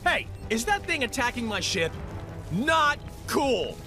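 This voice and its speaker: Cocky young male space hero, breezy, showy, animated pitch, game cutscene. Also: slow, full character voice. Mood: indignant, surprised. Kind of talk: animated video game character acting. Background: loud music.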